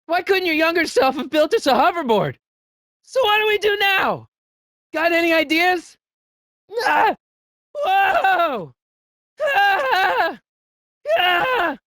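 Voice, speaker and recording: Game role: earnest, excitable teen boy adventurer, very lively pitch movement, flustered stammer, dry studio sound. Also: slow, long pauses, no echo, full character voice. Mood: urgent, shouting. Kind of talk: video game voice acting.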